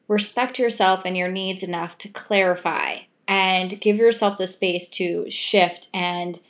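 A woman at a mid-range pitch talking her listeners through relationship boundaries, earnest and gently coaching. She slips into soft, affectionate example lines to a partner and then returns to plain advice. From grew up in a Greek family. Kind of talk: advice talk to an audience.

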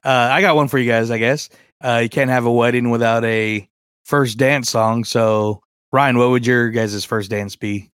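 A man's voice: medium pitch, steady tone, moderate pace, fairly bright tone, clean tone, no echo. Man, mid-range voice, dry close sound, casual podcast co-host, informal, joking, self-deprecating.

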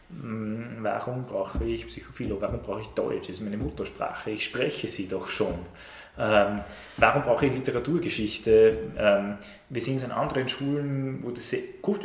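Brief: middle-aged teacher, lowish voice, measured, lots of "ähm", recorded in an echoey room. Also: male voice. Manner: measured, many "ähm" fillers and restarts. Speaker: teacher of Latin and Ancient Greek. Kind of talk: interview, thoughtful explanation. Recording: roomy echo with faint noise.

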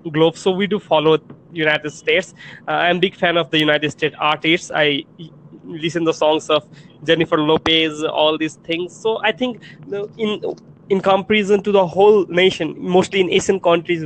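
A man from Nepal speaks English with a Nepali accent, choosing his words carefully and sometimes haltingly. English is not his first language. His voice sits a touch high. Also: fairly bright tone, rough recording. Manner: careful, halting non-native phrasing. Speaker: college lecturer. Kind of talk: casual conversation.

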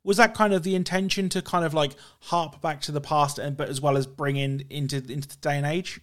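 A man's voice: on the high side, fairly even intonation, brisk. A man interviewing a musician in casual chat, enthusiastic, leaning on "you know" and "absolutely".

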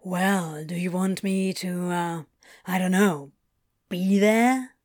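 A woman voicing a laid-back teenage boy who talks in a low, unhurried drawl. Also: a little mellow, no room sound, clean studio sound. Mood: shy.

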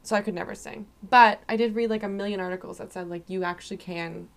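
Soft-spoken, laid-back young woman. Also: medium pitch, fairly even intonation, quick pace, vocal fry. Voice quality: soft-spoken, voice often strained. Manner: laid-back, rambling, lots of "like". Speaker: podcast co-host asking questions. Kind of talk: casual chat.

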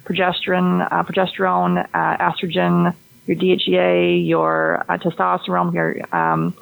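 Very gravelly voice, fertility practitioner, explanatory interview answers, frequent restarts. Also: mid-range voice, moderate pace, poor audio. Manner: explanatory, with many restarts and repeated words.

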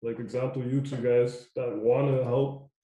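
A man's voice: mid-range voice, flat delivery, normal speed, warm tone, reverberant, poor audio. A young man coaching youth basketball, talking casually in a loose, repetitive way. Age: young adult. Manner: loose, repetitive, says "you know what I mean" a lot. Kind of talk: casual podcast chat.

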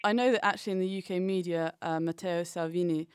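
A woman hosting a current affairs podcast, reading her intro and asking measured questions. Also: lowish voice, fairly even intonation, clear, resonant tone, no echo, clean studio sound. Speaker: podcast host.

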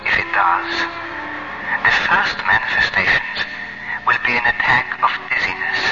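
An actor playing a grave doctor who reads out his letter in a measured, clinical way in a radio drama. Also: mid-range voice, big pitch swings, music in the background, close to an everyday voice. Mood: grave, cautionary. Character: grave doctor, confiding a patient's diagnosis by letter. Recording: muffled 1970s radio broadcast.

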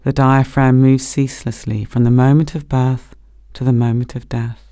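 A man gently leading a guided body scan meditation as a meditation teacher, speaking slowly and soothingly. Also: mid-range voice, level tone, dry recording, clean studio sound. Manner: gentle, slow, soothing instruction.

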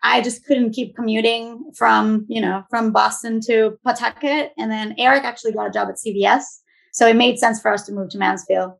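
Venezuelan woman in her 30s, chatty guest, kinda high and a bit gravelly. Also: fairly even intonation, fairly quick. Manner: warm storytelling, lots of "like" and restarts, laughs easily. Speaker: guest, business owner. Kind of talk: casual interview.

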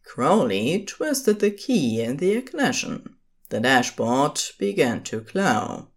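A woman's voice: low voice, big pitch swings, slow. A woman reading scripted story narration as the narrator of an audio drama.

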